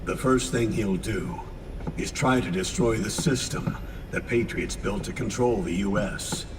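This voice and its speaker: Grave older game commander, lowish voice, measured and authoritative, echoing far off over noise. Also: male voice. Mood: grave, explanatory. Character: grave older male commander briefing his operatives. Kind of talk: dramatic video game acting. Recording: distant, reverberant, noisy vehicle ambience.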